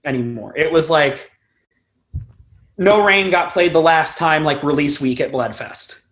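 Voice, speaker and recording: A young man with a fairly high voice speaks as a musician guest in an interview. He talks informally and loosely, circling around his thoughts and swearing casually. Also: unhurried, a bit bright. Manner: rambling, informal, casually profane. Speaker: band frontman, podcast guest.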